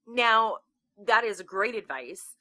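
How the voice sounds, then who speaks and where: A woman's voice: mid-range voice, lively intonation, takes it slowly, sharp tone. A woman from Canada with a Canadian accent, hosting a casual interview in a chatty, enthusiastic way. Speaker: interview host.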